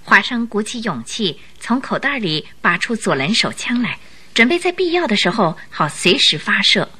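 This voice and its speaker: 一位女旁白以富有戏剧性的方式讲述广播剧故事。. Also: female voice, mid-range voice, big pitch swings, moderate pace, sharp tone, slightly rough. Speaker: narrator. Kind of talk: dramatic scripted storytelling narration.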